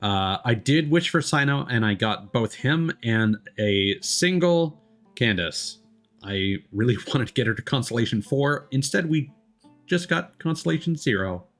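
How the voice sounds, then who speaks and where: A man's voice: medium pitch, animated, a little slow, fairly bright tone, soft background music. A man streaming a game, chatting casually and hesitantly as he answers viewers and drifts into asides. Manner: hesitant, digressive, replying to viewers. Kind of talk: casual live-stream chat.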